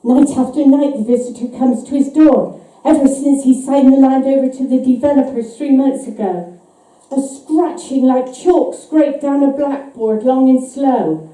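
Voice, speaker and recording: A woman giving a live, measured and suspenseful dramatic reading of a short story. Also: high voice, warm tone. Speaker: author reading her own short story. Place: live reading event, voice picked up from afar. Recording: echoey hall, distant microphone.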